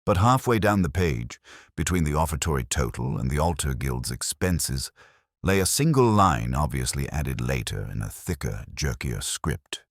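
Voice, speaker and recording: Narrator for detective fiction: male, extremely low voice, lively intonation, measured literary delivery. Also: no echo, studio quality. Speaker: narrator, storyteller. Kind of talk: scripted dramatic narration of a detective story.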